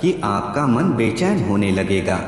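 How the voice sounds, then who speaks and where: Male narrator, scripted and deliberate, animated intonation, drenched in echo. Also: lowish voice. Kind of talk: scripted narration of psychology facts. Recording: heavy echo, long reverb, background music. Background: music.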